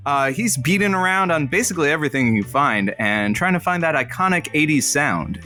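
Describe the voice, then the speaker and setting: An actor playing a droll radio engineer and announcer sidekick, wry, teasing and a little hesitant, in an audio comedy. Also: male voice, fairly high pitch, animated, brisk, sharp tone, faint music behind. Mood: mock-earnest announcing. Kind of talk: scripted audio comedy acting.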